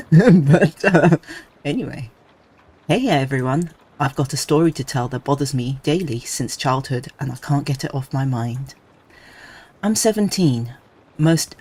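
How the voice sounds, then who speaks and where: A man with a somewhat high voice reads creepy stories aloud to his stream. He keeps a relaxed, chatty manner and drops casual asides between passages. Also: breathy edge.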